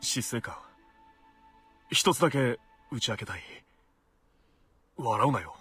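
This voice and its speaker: An actor playing a loyal, troubled knight in a visual novel, speaking formally and haltingly. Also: male voice, medium pitch, lively intonation, a bit bright, slightly rough, soft background music. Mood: troubled, earnest. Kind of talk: Japanese visual novel voice acting.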